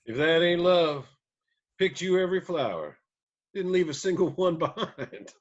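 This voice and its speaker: Actor as folksy male country musician, slow delivery, easygoing, teasing, slightly dull sound. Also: on the high side, expressive. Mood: fond, amused. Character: easygoing, folksy country band singer, fond teasing father. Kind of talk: screenplay table read.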